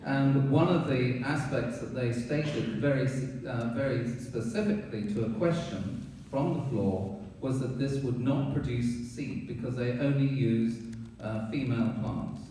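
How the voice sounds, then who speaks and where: Dark-voiced man in an echoey hall. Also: mid-range voice. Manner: pointed, accusatory, halting with fillers. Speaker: resident giving public comment. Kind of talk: public comment at a town board hearing. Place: town meeting hall. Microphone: room mic from a distance. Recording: echoey hall, distant mic, faint hiss.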